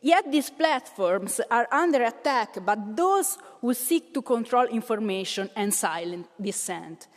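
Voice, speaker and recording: A woman interprets a political speech in a higher voice, pausing on "uh" between phrases. Her words ring through a large chamber with a long reverb tail. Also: expressive. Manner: hesitant, frequent "uh" fillers. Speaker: simultaneous interpreter in a parliamentary debate.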